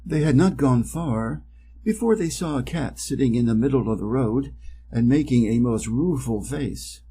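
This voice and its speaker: A man's voice: mid-range voice, expressive, normal speed, warm tone, a little hiss or hum. A man from Wisconsin with an American accent, reading a fairy tale carefully and expressively for English learners. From Wisconsin, United States. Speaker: storyteller, English reading teacher.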